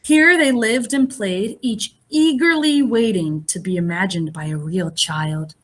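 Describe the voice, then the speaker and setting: A woman reading a picture book aloud as a teacher would to young children, expressive and pausing to explain things in simple words. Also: medium pitch. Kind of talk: animated children's read-aloud with asides.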